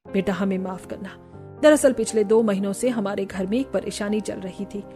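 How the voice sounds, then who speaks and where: A woman's voice: mid-range voice, normal speed, mellow, soft background music, close to an everyday voice. A woman voicing a kindly but firm middle-aged mother-in-law in a family cartoon. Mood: apologetic. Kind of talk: acted dialogue in a moral family cartoon.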